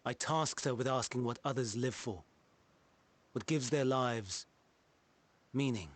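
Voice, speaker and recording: A man playing a troubled, idealistic scholar in a video game, speaking in an earnest, halting and heartfelt way. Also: mid-range voice, lightly acted. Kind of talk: video game cutscene acting, earnest formal speech.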